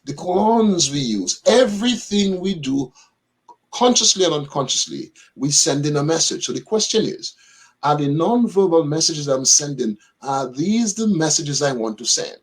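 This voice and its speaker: A man speaking as a guest on a radio panel, laying out his points in a measured, methodical order. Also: a little high. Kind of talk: panel discussion on a live radio show.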